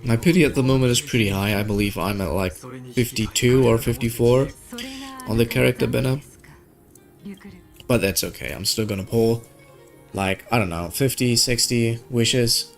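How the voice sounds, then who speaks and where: A man's voice: mid-range voice, normal speed, creaky, long pauses. Casual man chatting, faint music behind. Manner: informal, slangy, thinking aloud. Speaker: game streamer. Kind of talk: casual gaming stream chat.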